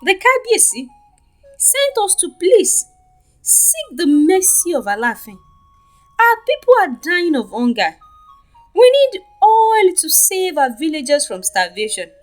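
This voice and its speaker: A woman's voice: very high voice, big pitch swings, unhurried, faint music behind, voice pushed up, exaggerated voice. A woman voicing a humble, desperate male messenger in a folktale, pleading with palace guards. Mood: begging.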